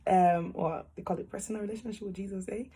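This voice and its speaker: A woman's voice: medium pitch, fast talker, slightly rough. A woman, telling her story in a cheerful, laughing way over a video call from a small room at home. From Cameroon. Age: 20s. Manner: cheerful, laughs often, animated hands. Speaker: interviewee, student nurse. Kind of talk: casual personal story. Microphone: webcam on a video call.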